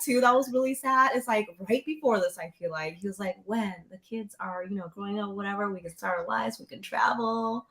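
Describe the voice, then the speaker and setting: A woman's voice: mid-range voice, fairly quick, creaky, hardly any pauses, a bit bright. A young adult woman chatting casually and loosely, with some slang, on a video call from a home room. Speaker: book club guest. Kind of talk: casual chat.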